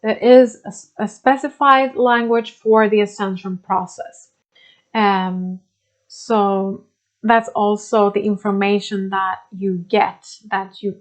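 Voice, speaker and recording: A woman whose first language is Swedish, explaining spiritual ideas in English with many pauses, fillers and restarts. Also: medium pitch. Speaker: spiritual teacher. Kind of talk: unscripted explanatory talk.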